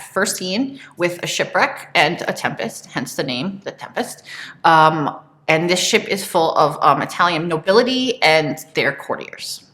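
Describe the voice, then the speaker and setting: A woman presenter giving an informal literary lecture in a halting, explanatory way. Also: lowish voice, pushed and bright. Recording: roomy, echoing sound.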